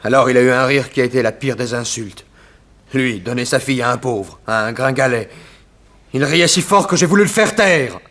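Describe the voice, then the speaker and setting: An actor playing a humiliated young suitor in a 1960s French radio drama, speaking bitterly and dramatically. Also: male voice, medium pitch, normal speed, a bit bright, lightly acted. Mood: bitter, confessing.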